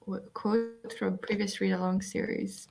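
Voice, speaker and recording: A woman's voice: mid-range voice, fairly even intonation, measured pace, vocal fry, a little mellow. A woman hosting a casual livestream, chatting with friends and reading out viewer comments. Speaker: host of a livestream reading sprint.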